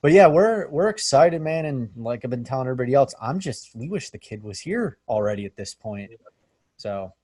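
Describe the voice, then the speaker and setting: A man's voice: mid-range voice, animated, brisk, a little mellow, dry recording. A man chatting casually on a video call, easygoing and rambling. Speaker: band member hosting a video chat. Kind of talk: casual chat.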